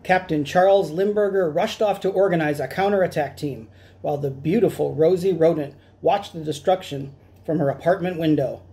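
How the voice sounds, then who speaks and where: A man's voice: a little high, normal speed, warm tone. A middle-aged man reading a children's picture book aloud in a dramatic storytelling style. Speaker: storytime reader. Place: small room at home, indoors.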